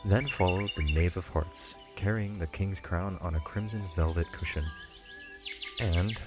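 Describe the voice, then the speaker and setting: A male narrator tells a scripted story in audiobook style. His voice sits very low, and music plays clearly beneath it. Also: a little slow.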